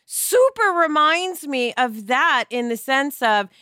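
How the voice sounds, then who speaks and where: Bright, higher-voiced woman hosting a conversation. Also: lively intonation, slow pace, dry recording, studio quality. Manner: emphatic, asks rhetorical check-in questions. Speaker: podcast host. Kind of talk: conversational podcast discussion.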